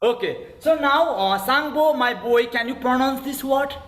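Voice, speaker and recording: A man's voice: extremely high pitch, a little slow, fairly bright tone, reverberant. A man with a Nepali accent teaching English in an online class, spelling words out letter by letter and checking again and again that students follow. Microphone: video call.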